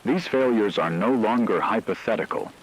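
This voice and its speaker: A man voicing a grave radio news anchor, reading a scripted emergency broadcast in a formal, measured way. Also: lowish voice, lively intonation, breathy edge, poor audio. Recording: radio-style broadcast with faint hiss, rain-like noise.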